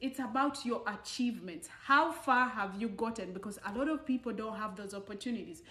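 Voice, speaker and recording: A woman's voice: fairly high pitch, brisk, sharp tone, echoey room, a little hiss or hum. A young woman from Uganda, talking earnestly to camera in a casual vlog and stressing her points with rhetorical questions. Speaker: vlogger.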